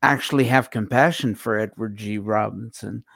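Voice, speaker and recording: A man's voice: on the low side, moderate pace, gravelly, dry recording. A man chatting casually as co-host of a radio show. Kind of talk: casual chat.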